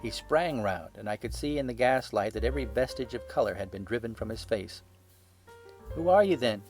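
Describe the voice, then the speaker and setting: A man's voice: on the low side, a little fast, faint music behind, poor audio. A man reading a scripted story aloud as an audiobook narrator.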